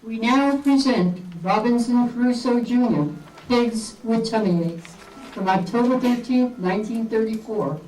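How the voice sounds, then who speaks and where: A woman's voice: a little high, a little slow, dark tone. A woman reading a script as an old-time radio announcer. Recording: roomy, distant mic, music behind.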